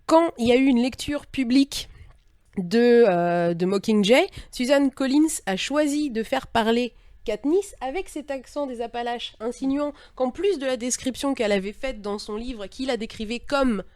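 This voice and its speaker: A woman's voice: on the high side, moderate pace, darkish tone, no echo. A woman talking informally as a panelist in a discussion, searching a little for her words as she explains.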